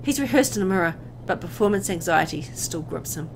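A woman reading a horror visual novel aloud as dramatic, expressive narration, as host of a gameplay video. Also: on the low side, a little fast, gravelly, noisy background.

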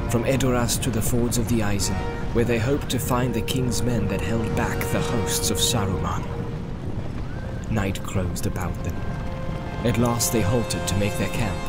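A man narrating a fantasy audiobook in a dramatic, scripted storytelling style. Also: lowish voice. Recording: loud music behind. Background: loud music.

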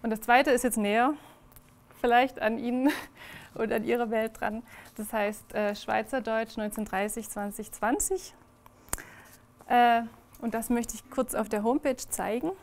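A woman's voice: a little high, steady tone, measured pace. A young woman giving a presentation to a small audience indoors, talking with many fillers and restarts. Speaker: project presenter. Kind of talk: presentation talk. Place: indoor reading room, in front of a small audience.

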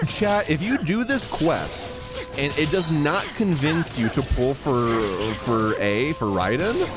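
A man in his thirties reacting casually to a game on a live stream, excitable and slangy. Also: fairly high pitch, takes it slowly. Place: indoors, small streaming room. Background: game music and sounds.

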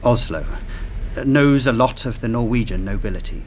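A man's voice: medium pitch, normal speed, a little mellow, slight background noise, natural voice. A man playing a guarded intelligence official delivering a cool briefing in a radio drama. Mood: guarded, dry. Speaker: actor in a radio play.

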